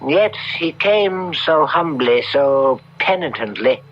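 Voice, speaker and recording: An actor playing a kindly, credulous marquis in a 1970s radio drama, with a formal, paternal way of talking. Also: male voice, a little high, lively intonation, slow. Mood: astonished, confiding. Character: credulous, kindly Italian marquis, future father-in-law. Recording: dull 1970s radio recording, faint music.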